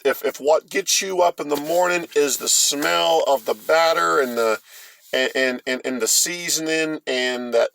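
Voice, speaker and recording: A middle-aged man with an American accent, telling a story casually in a halting, rambling, folksy way. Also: fairly high pitch, vocal fry, a bit bright, slight background noise. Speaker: host of a storytime vlog.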